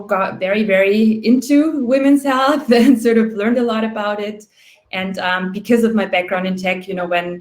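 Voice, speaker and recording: A woman in her thirties from Austria, a startup founder telling her story on a panel in a chatty way, full of "you know" and "like". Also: mid-range voice. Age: 30s. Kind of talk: panel talk, personal story. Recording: roomy echo.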